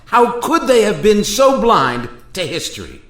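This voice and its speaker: A man's voice: high-pitched, big pitch swings, unhurried. A man acting an indignant Thomas Jefferson in a radio play, speaking in an emphatic, formal, oratorical way. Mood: outraged. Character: indignant Thomas Jefferson, aghast at modern America. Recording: roomy, echoey voice recording.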